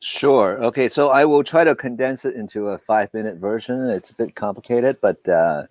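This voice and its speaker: A middle-aged man from Hong Kong, Taiwan and Southern California, telling his story casually as an interview guest, haltingly, repeating words and drifting into asides. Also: mid-range voice, darkish tone, no echo. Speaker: podcast guest, film producer.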